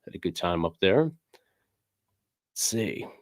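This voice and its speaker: A man's voice: low-pitched, very animated, unhurried, dry recording, clean studio sound. A man hosting a casual livestream from his game room, talking loosely with lots of fillers while still getting over a cold. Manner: rambling, filler-heavy, reads chat aloud. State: recovering from a cold, sniffling. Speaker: livestream host. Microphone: desk microphone.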